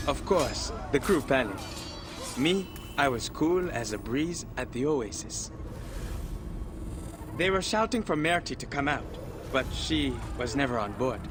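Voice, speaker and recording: A man's voice: mid-range voice, noisy background. An actor playing a smug, boastful man who talks his way out of blame in a video game. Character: boastful slippery adult man, smug braggart.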